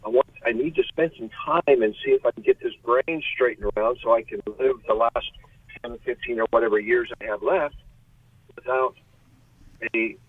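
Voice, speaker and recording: A man's voice: medium pitch, moderate pace, slightly rough, no room sound. Late-sixties male caller on a car phone, candid, rambling delivery, tinny dry line. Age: 60s. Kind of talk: call-in radio chat. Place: in a car pulled over.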